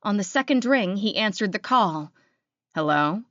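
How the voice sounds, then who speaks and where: A woman's voice: mid-range voice, expressive, normal speed, a bit bright, dry recording, lo-fi sound. A woman reading a scripted audiobook, narrating dramatic fiction in the first person.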